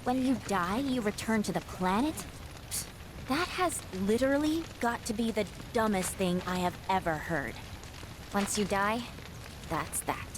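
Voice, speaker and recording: A woman's voice: medium pitch, expressive, measured pace, lightly acted. A woman playing a brash, cheeky young woman in a video game cutscene, blunt and sassy, with rainy noise behind. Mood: scornful.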